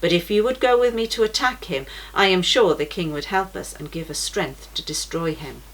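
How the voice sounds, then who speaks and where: A woman's voice: fairly low pitch, normal speed, natural voice, poor audio. A woman voicing a hospitable, kindly man who takes in travellers, reassuring his guests in a read-aloud storybook. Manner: warm, reassuring. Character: hospitable male innkeeper host.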